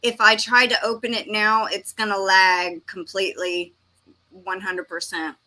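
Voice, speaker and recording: A woman's voice: medium pitch, flat delivery, a little slow, bright, forceful tone, poor audio. A woman from Texas, hosting a livestream and casually reading comments aloud. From Texas, United States. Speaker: livestream host.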